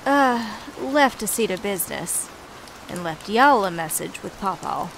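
A woman acts a friendly country girl in an audio drama. She has a Southern US drawl at medium pitch, with faint rain noise behind. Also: animated, measured pace, vocal fry, a bit bright, low-quality recording. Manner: folksy.

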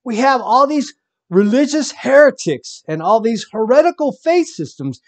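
A man's voice: very high voice, very animated, normal speed. A man preaching from home, reading scripture aloud and commenting on it with emphasis.

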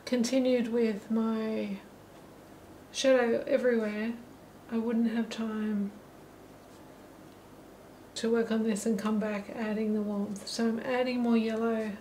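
A middle-aged woman narrating a watercolour lesson while she paints, thinking aloud in a relaxed way. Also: fairly high pitch, slow pace, slight background noise, rough recording. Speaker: watercolour teacher. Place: holiday apartment, at a table indoors. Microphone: camera mic above the table.

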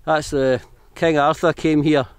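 A man's voice: a little high, fairly even intonation, a little slow, clean tone, no room sound. Chatty Scottish man walking outdoors. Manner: chatty, rambling, self-correcting. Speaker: hiking vlogger. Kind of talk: casual walking commentary. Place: outdoors on hill paths.

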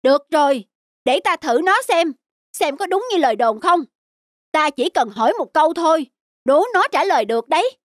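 A woman's voice: sits high, lively intonation, normal speed, no room sound, clean studio sound. A woman voicing an arrogant young male village scholar in a cartoon, smug and taunting, over background music. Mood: scheming. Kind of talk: cartoon dubbing.

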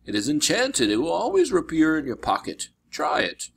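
A man voicing a wise older mentor in a storybook, grave and kindly, speaking in a measured, solemn way. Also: a little high, wildly swooping pitch, gravelly, slight background noise, pitched above the performer's own voice, full character voice. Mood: solemn, firm.

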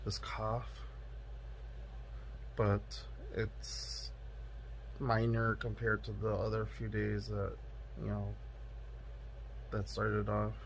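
Young male vlogger with a low, hoarse, raspy voice, talking slowly into a close phone camera at home. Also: monotone, slight background noise, low-quality recording. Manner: slow, subdued, many fillers. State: hoarse from illness. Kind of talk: casual video diary. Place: bedroom indoors. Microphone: phone camera close to face.

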